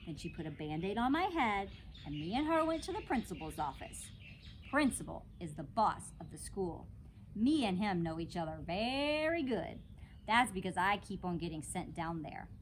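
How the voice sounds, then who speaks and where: A woman's voice: medium pitch, very animated, moderate pace, slight background noise. A woman narrating a children's storybook as a teacher would, expressively and dramatically, in a childlike first person. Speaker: teacher reading aloud to children.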